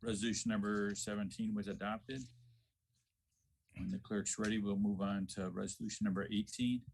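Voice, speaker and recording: A man's voice: on the low side, level tone, normal speed, vocal fry, a little mellow, a little hiss or hum. A man chairing a board meeting with formal procedure.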